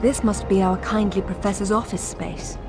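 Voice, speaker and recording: A woman's voice: mid-range voice, fairly even intonation, normal speed, creaky, close to an everyday voice. A woman playing a cool, witty British adventurer in a video game, with a refined, wry delivery. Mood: dry, assured. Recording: loud music behind the voice.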